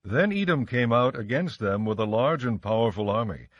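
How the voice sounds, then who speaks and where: A man narrating scripture from a script in a solemn, measured way. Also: medium pitch, animated, no room sound. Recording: slightly muffled studio track with music.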